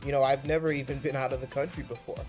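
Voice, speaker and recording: A man's voice: medium pitch, fairly even intonation, very fast. Freshman guy from cleveland talking earnestly about a class project, mid voice, guitar music underneath. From Cleveland, Ohio, United States. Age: young adult. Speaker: university freshman. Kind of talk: reflective video monologue.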